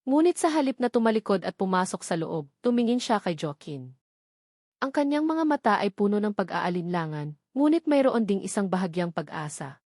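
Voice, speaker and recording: A woman's voice: mid-range voice, big pitch swings, normal speed, darkish tone, no room sound, clean studio sound. A woman with a Filipino accent reading a scripted romance story as its narrator.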